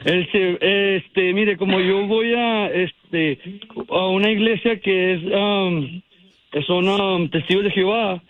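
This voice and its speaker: A man's voice: sits high, slow pace, no echo. A man in his twenties calling in from work, answering politely and hesitantly with many fillers. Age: 20s. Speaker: caller. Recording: muffled phone-in audio.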